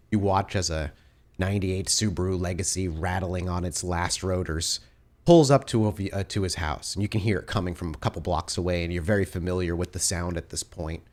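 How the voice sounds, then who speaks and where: A man narrating a tabletop role-playing game as storyteller, describing scenes in detail. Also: deep voice.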